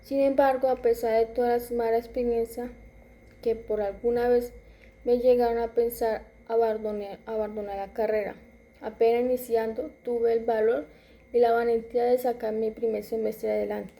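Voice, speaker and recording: A woman in her twenties reading a personal story aloud, halting at times and correcting herself as she goes. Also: a little high, level tone, warm tone. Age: 20s. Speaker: student.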